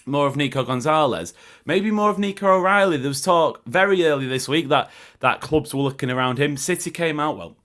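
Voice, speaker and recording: A man hosting a football podcast, his voice croaky, chatting loosely and correcting himself as he goes. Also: a little high, fairly bright tone. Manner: chatty, rambling with self-corrections. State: croaky throat. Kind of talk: casual solo commentary.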